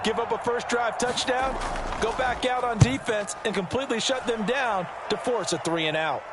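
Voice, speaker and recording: A man's voice: very high-pitched, normal speed. A man voicing an upbeat American football analyst in a video game, explaining play in a conversational way. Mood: upbeat, explanatory. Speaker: color commentator, analyst. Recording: loud music behind the voice. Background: loud music.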